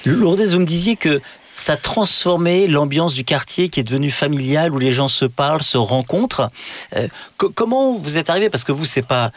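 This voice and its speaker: A man's voice: on the high side, expressive, normal speed, no echo. A man working as a radio reporter, asking questions with emphatic admiration. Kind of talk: radio interview.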